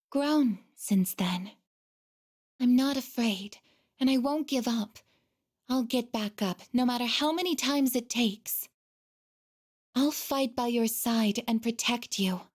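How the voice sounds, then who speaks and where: A woman voicing a gentle, earnest female android in a video game, speaking softly and hesitantly with shy devotion. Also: fairly high pitch. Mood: resolute, devoted. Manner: soft, hesitant, deliberate, tender. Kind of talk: video game voice acting, emotional dialogue.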